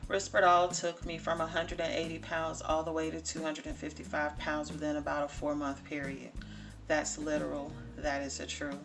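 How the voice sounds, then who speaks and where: A woman's voice: low voice, monotone, normal speed, music in the background. A woman in her forties talking casually to the camera in her home kitchen, emphatic and repeating words for stress. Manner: emphatic, repeats for stress, talks directly to viewers. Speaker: vlogger. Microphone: camera or phone in front of her.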